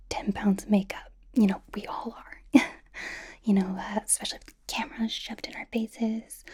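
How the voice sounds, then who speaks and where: A woman plays a young female K-pop idol in an ASMR roleplay. Her voice is a breathy whisper, soft and mellow, edging higher when voiced. She rambles in a nervous, bubbly, supportive way, hesitant but reassuring. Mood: tactful, gentle.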